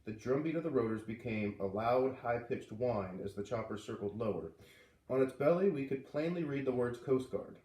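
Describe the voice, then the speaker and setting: A man reading a children's chapter book aloud at home, playfully voicing the characters. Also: normal speed. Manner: lively, voices characters with big pitch jumps. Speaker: reader reading aloud to kids. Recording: roomy, distant from the mic.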